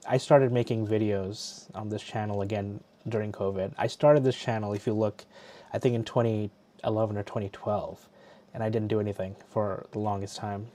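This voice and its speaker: A man's voice: lowish voice, mellow. A middle-aged man from Bangladesh hosting a casual live stream, chatty and loose, often restarting his sentences. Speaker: livestream host. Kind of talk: casual chat.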